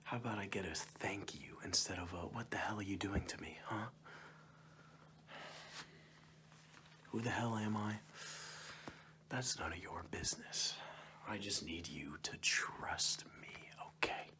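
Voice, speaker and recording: A man playing a goofy, menacing kidnapper in an ASMR roleplay, coaxing and mock-reassuring in a breathy, hushed whisper. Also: low voice, moderate pace, background noise, close to an everyday voice. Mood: coaxing, impatient. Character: goofy menacing male kidnapper soothing his captive to sleep.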